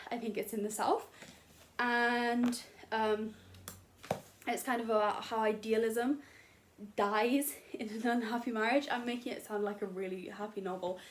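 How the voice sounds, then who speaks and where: A woman's voice: fairly high pitch, a little hiss or hum. A young woman chatting casually to camera about books in her bedroom, rambling and self-deprecating with lots of fillers. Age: young adult. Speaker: booktuber. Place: bedroom at home.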